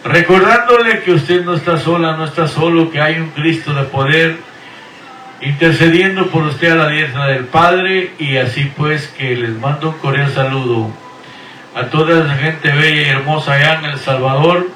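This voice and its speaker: A middle-aged man, a pastor, preaching and sending drawn-out, earnest blessings and greetings over the radio. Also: fairly high pitch, bright, forceful tone, slight background noise. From Guatemala. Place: Houston, Texas. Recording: echoey room, voice from far off the mic.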